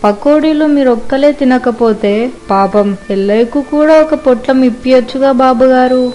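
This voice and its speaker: A woman's voice: high voice, normal speed, mellow, close to an everyday voice. A woman playing a forthright, kind-hearted village snack seller in a moral story, chiding in colloquial rural Telugu. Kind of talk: moral story audio drama. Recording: music behind the voice.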